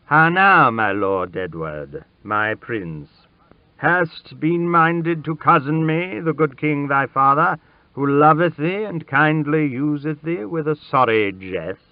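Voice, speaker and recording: A man's voice: on the high side, expressive, slow, dry recording, highs cut off, voice pushed up. A man voicing an ailing old king who speaks to his child in stately, archaic words with fatherly tenderness and sorrow. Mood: gentle reproach. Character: stern old ailing king turned gentle, grieving father.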